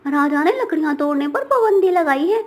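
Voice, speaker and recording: A kindly male parrot from a children's cartoon, acted by a woman. He sounds alarmed and pleading, with a very high voice. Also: animated, brisk. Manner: urgent, pleading.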